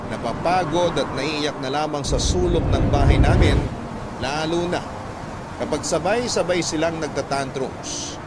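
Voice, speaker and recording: A man's voice: on the high side, a little slow, heavy background noise. A man with a Manila Filipino accent reading a scripted first-person story as a radio drama narrator. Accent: Filipino, Manila area. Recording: loud music bed behind voice.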